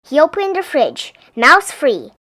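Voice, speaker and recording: A woman's voice: high voice, wildly swooping pitch, measured pace, bright, forceful tone. A woman reading a picture book aloud expressively for young children. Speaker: storybook reader.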